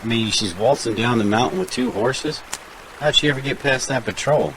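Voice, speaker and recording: An actor playing a gruff, suspicious survivor who talks in a sceptical, accusing way in an audio drama. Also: male voice, mid-range voice, sing-song, normal speed, noisy background. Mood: suspicious, scornful. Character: gruff, suspicious male compound dweller, scornful of strangers.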